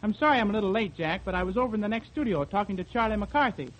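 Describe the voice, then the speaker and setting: A man's voice: high voice, lively intonation, rapid-fire, fairly bright tone, poor audio. A man with a boyish, guileless manner performing in a 1930s radio comedy. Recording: old radio recording with faint noise.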